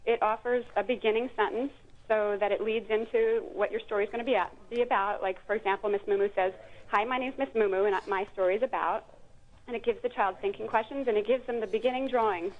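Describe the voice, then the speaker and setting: A woman's voice: mid-range voice, fairly even intonation, a little fast. A woman phoning in as a guest creator, explaining her product conversationally over the telephone. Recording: muffled telephone line.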